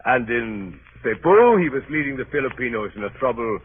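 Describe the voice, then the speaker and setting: A scratchy, phone-thin 1930s radio recording carries a man acting as a shrewd Dutch colonial official. His delivery swings widely in pitch, sardonically amused and unyielding, in deliberate, Dutch-accented broken English. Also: very animated, narrow, tinny audio, natural voice. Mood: mocking, emphatic.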